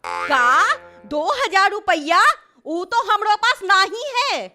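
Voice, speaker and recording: A woman's voice: very high voice, very animated, takes it slowly, sharp tone, faint music behind, exaggerated voice. A woman voicing a bossy, quarrelsome village mother in a cartoon, speaking a rural Hindi dialect in a scolding, brash manner. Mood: indignant. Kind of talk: exaggerated cartoon dialogue.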